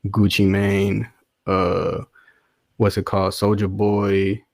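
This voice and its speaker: Man in his twenties, podcast guest, lower pitch, slangy casual storytelling, dry recording. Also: little pitch movement, takes it slowly, vocal fry, darkish tone, dry recording. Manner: slangy, says bro and dude often. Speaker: podcast guest, rapper and music producer.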